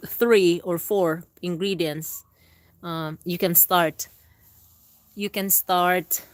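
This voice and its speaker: A woman hosting a casual live gardening stream, talking in a halting, chatty way with many restarts. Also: fairly low pitch, fairly even intonation, no room sound. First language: Filipino language. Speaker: gardening channel host. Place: indoors at home.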